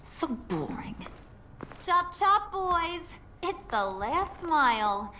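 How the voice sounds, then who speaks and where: A woman's voice: very high-pitched, very animated, very slow, sharp tone, slight background noise, full character voice. A woman playing a brash, mocking gang leader in a video game, bossy and playfully menacing, with a taunting sing-song delivery. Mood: playful menace.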